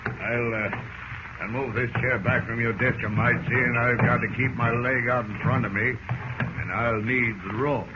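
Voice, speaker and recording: A man's voice: mid-range voice, steady tone, normal speed, natural voice. An actor playing a brash, threatening peg-legged seaman with salty seafaring talk in a radio drama. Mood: gruff, hearty. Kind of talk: 1940s radio drama acting. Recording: muffled, noisy old radio recording.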